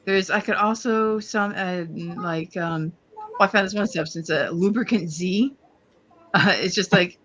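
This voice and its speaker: A woman's voice: on the low side, a little slow, a bit bright, slight background noise. A woman playing a tabletop roleplay game, casually explaining her ideas in a hesitant, tentative way. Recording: dull lo-fi voice audio.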